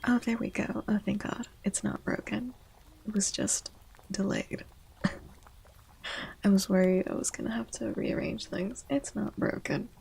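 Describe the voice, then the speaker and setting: Female ASMR stream host, soft-spoken with creaky fry and a breathy husk, slipping into whispers, gentle and close-miked. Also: medium pitch, fairly even intonation. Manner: gentle, unhurried greeting of viewers. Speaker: livestream host, VTuber.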